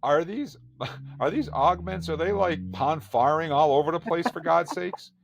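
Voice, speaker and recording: A man's voice: fairly high pitch, unhurried, creaky, slight background noise. A man co-hosting a podcast, chatting casually and animatedly as he reviews an episode. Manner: animated, exclaiming and joking. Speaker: podcast co-host.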